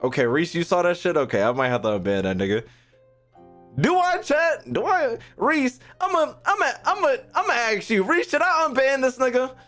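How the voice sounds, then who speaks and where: High-voiced, animated male game streamer. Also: moderate pace, faint music behind. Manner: animated, profane, slangy, repeats phrases. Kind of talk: casual live gaming commentary.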